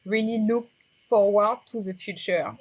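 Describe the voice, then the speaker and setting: French-accented woman, thoughtful and halting. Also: medium pitch, breathy edge, dry recording, low-quality recording. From France. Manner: halting, many fillers and restarts. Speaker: interviewee. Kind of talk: reflective conversational interview.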